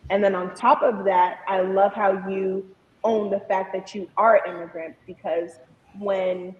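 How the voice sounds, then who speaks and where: A woman's voice: mid-range voice, fairly even intonation, measured pace, echoey room, long reverb tail, muffled recording. A young woman co-hosting a podcast, talking animatedly and enthusiastically, with many "like" fillers. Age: young adult. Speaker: podcast co-host. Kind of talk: casual podcast conversation.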